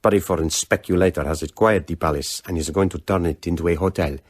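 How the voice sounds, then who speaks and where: A man playing a wary, gossipy courier in a radio drama, narrating a letter in a measured, confiding way. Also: low-pitched, steady tone, breathy edge, no echo. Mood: confiding, uneasy.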